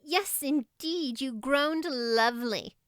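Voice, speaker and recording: A chatty, sensible young girl acted by a woman narrator in a storybook. She speaks in a high, clear voice with brisk, matter-of-fact emphasis, like a loyal best friend. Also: a bit bright, no room sound, clean studio sound. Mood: admiring. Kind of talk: audiobook character voice.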